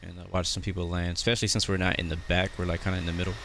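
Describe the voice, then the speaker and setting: Man, American accent, very low voice, casual streamer chat, clear background engine noise. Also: racing. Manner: relaxed, chatty, greets chat viewers. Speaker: flight sim streamer. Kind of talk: casual live-stream commentary. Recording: noisy background.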